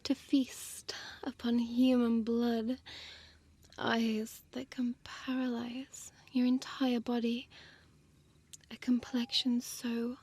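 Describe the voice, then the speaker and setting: A woman playing a small, deadly female vampire in an intimate audio roleplay, teasing and condescending in formal words, breathy and often dropping to a whisper. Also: on the high side, flat delivery, slow, darkish tone, heavily acted. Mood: menacing, seductive.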